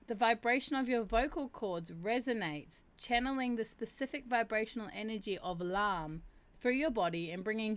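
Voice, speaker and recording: A woman with a deep voice hosting a livestream, chatting casually and reading meditation instructions aloud. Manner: chatty, laughs and reacts to chat; slows down to chant drawn-out syllables. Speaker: livestream host. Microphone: streaming mic.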